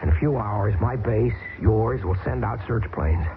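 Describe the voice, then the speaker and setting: An actor playing a stranded air force lieutenant in an old radio drama, urgent and defiant. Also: male voice, on the low side, measured pace, creaky. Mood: urgent defiance. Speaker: lieutenant, lead character. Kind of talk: 1970s radio drama acting. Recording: tinny, hissy old radio broadcast.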